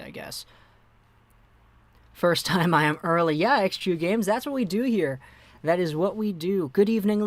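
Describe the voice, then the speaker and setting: A man's voice: fairly high pitch, no room sound. A young man hosting a casual hockey live stream, chatting and answering viewer messages as they come in. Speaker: hockey livestream host.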